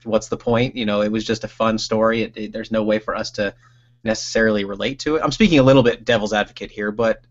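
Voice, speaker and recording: A man's voice: lowish voice, fairly even intonation, quick pace, highs cut off. A man who is guest host on a book podcast, chatting casually and rambling with many restarts.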